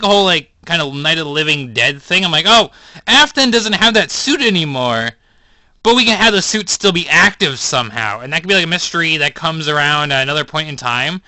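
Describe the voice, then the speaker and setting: A man's voice: fairly high pitch, pushed and bright, clear, resonant tone, no echo. A man closing his plush video with rambling, upbeat casual chat.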